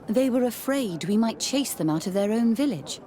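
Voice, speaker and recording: A woman playing a busy, formal religious scholar in charge, brisk and composed, in a video game. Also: fairly high pitch, animated, warm tone, slight background noise, close to an everyday voice. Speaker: supervisor of theologians.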